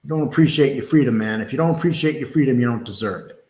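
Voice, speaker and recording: A man's voice: mid-range voice, expressive, fast talker, darkish tone, echoey room. A middle-aged man giving casual, mocking commentary as a show co-host, sarcastic and prone to swearing. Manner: sarcastic, profane.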